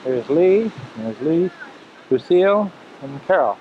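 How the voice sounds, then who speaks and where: A man's voice: on the high side, big pitch swings, slow pace, a little hiss or hum. A man giving casual, chatty running commentary while filming a home video outdoors on a foggy rocky coast, repeating himself with dry humor. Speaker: home video camera operator. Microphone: built-in camcorder mic. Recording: muffled camcorder audio with loud surf. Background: surf and waves.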